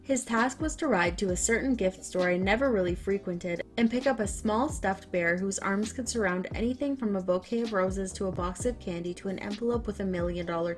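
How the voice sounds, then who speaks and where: A woman reading online stories aloud, then reacting to them with loud, animated outbursts. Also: medium pitch. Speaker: story narrator.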